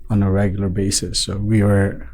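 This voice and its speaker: A man from Nepal, a restaurant owner being interviewed, talking in a relaxed way with many fillers and restarts. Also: on the low side, brisk. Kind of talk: casual interview talk.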